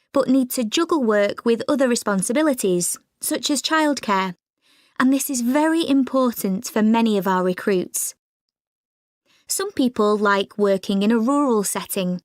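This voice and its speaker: An actress playing an upbeat, informative recruitment consultant giving a clear, organised scripted talk to jobseekers. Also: female voice, on the high side, no echo, studio quality. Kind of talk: scripted presentation.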